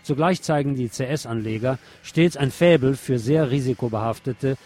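A man's voice: mid-range voice, normal speed, a little mellow. A man reads scripted political commentary as a radio presenter. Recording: music playing behind the voice. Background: music.

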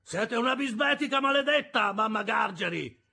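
A man's voice: very high voice, expressive, moderate pace, gravelly, sharp tone, pitched above the performer's own voice, full character voice. A man voicing a brusque, surly hired hand at a forge, sneering and growling defiantly in an audiobook. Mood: angry. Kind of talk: audiobook character voice.

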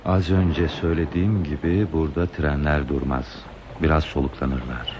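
A man's voice: rumbling low, fairly even intonation. An actor playing a lonely, talkative man who is dreamily devoted to trains, musing poetically in a radio play. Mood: musing, wistful. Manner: musing, poetic, slow pauses in monologue. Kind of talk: radio theatre acting. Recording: dull, lo-fi radio play tape, hissy.

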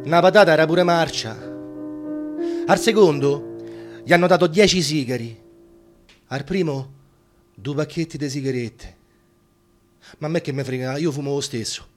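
A man's voice: a little high, moderate pace, long pauses, soft background music, lightly acted. A man acting a world-weary wartime prisoner with a Roman accent, reflective and bitterly wry, in a radio drama monologue. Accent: Roman Italian. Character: world-weary male prisoner of war scraping by on potatoes. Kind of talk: theatrical radio drama monologue with sung snatches.

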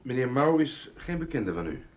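An actor playing a police sergeant in a radio play, formal, polite and matter-of-fact. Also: male voice, mid-range voice, big pitch swings, normal speed, natural voice. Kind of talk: Dutch radio play dialogue. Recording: dull lo-fi radio play recording.

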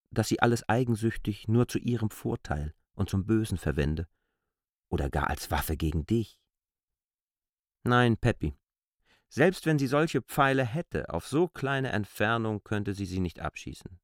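An actor playing an earnest, reflective man in a literary radio play, reasoning deliberately in long, argumentative sentences. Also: on the low side, no echo, clean studio sound. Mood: earnest, reproachful.

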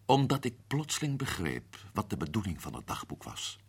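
Male actor: low, husky, grim adventurer with a lively pitch. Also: normal speed, no echo, full character voice. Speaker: narrator and lead character Paul. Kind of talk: radio drama acting.

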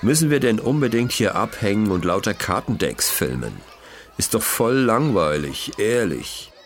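A man voicing a bored, good-natured schoolboy detective who grumbles in a hushed whine in a children's audio mystery. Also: lowish voice, big pitch swings, moderate pace. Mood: whiny, bored. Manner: whining, hushed complaining in youth slang.

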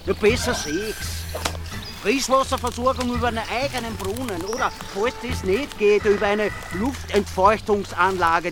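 A man with an Austrian accent acting a cranky, self-assured survivalist ranger in a radio drama, lecturing colloquially. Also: high-pitched.

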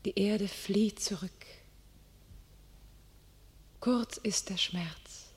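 A devout young warrior maiden in a classic radio play. She speaks solemn, declamatory verse, slow and deliberate. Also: medium pitch, breathy edge. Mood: dying rapture.

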